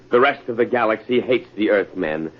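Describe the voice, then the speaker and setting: An actor playing a bigoted military governor in an old-time radio drama, gruff, scornful and condescending. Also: male voice, on the low side, lively intonation, unhurried. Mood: dismissive. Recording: muffled old radio recording with hiss.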